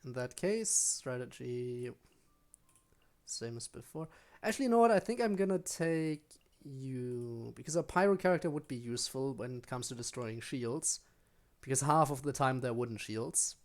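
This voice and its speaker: A man with a mid-pitched voice gives casual live commentary on a video game. He thinks out loud as he plays, in the offhand style of a streamer talking through each move. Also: moderate pace. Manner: thinking aloud while playing.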